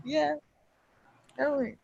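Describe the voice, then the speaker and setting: A woman with a Brazilian Portuguese accent, Portuguese her first language, calling in and speaking halting, emotional English with many pauses. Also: high voice, fairly even intonation, creaky, darkish tone, dry recording. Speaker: caller. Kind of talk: call-in conversation. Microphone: video call. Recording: lo-fi video call audio.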